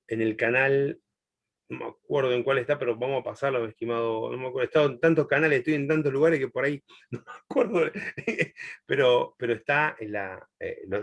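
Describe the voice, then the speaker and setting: A man's voice: medium pitch, vocal fry. Chilean-born guy raised in argentina, bible teacher, chatty, laughs a lot. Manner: warm, chatty, laughs often, long pauses. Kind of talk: answering a student in a live class.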